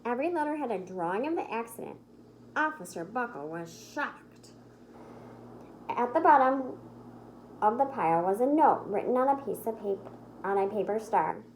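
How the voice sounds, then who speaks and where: A woman reading a children's picture book aloud in an expressive, emphatic storytelling manner. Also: on the high side, moderate pace, long pauses, slight background noise, rough recording. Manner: animated, emphatic, acts out the dialogue.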